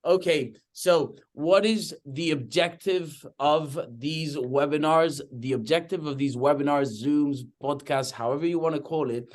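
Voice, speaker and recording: A man's voice: on the high side, measured pace, few pauses. A young man from Madrid with a Spanish accent, hosting a real estate webinar enthusiastically and often checking in with "okay?". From Spain (Madrid). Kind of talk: webinar presentation.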